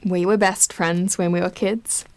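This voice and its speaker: A woman's voice: lowish voice, level tone, unhurried. An actress playing a guarded, matter-of-fact young woman in an audio drama, speaking casually. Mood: calm, matter-of-fact.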